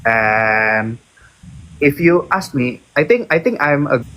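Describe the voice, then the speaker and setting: A man's voice: medium pitch, expressive, slow pace, sharp tone. A young man with an Indonesian accent, chatting on a livestream in English with playful hesitations and fillers. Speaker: livestream co-host. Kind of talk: casual livestream chat.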